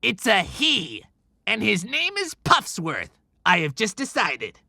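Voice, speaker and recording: Creaky, bright, excitable little sidekick. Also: mid-range voice, slow, no echo, studio quality, full character voice. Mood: stubborn. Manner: sarcastic, animated, mouthy. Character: excitable, stubborn, wisecracking bearded male sidekick to Santa. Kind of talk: cinematic audiobook character acting.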